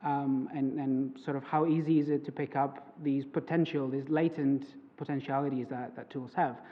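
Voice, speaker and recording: A man's voice: mid-range voice, fairly even intonation, moderate pace. A young man whose first language is Greek gives an academic conference talk as a PhD student, hesitant, with many fillers and restarts. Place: lecture hall. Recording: phone-like, long hall reverb.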